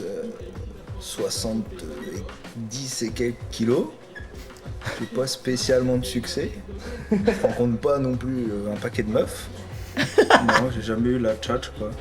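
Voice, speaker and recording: Guest from normandy, easygoing, lots of fillers, mid voice, light music under. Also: male voice, medium pitch, slow, pauses often. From Normandy, France. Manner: relaxed, hesitant with many fillers, laughs often. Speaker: guest rapper. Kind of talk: casual chat.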